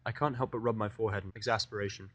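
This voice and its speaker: A man's voice: on the low side, fairly even intonation, rapid-fire, fairly bright tone, no room sound, highs cut off. Man narrating a story, very dry sound. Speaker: fan fiction reader and narrator. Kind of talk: scripted first-person story narration.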